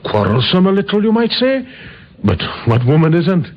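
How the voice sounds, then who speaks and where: Humble janitor on muffled old radio. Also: male voice, high voice, wildly swooping pitch, normal speed, full character voice. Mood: subdued, deferential. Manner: deferential, plain-spoken, ungrammatical. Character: humble, working-class widowed male janitor. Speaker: actor in a radio mystery. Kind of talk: old-time radio drama acting. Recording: noisy, muffled vintage radio recording.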